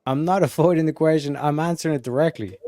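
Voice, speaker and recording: A man's voice: on the high side, fast talker, dark tone, no room sound, clean studio sound. A man arguing his points earnestly in a casual livestream debate as a guest.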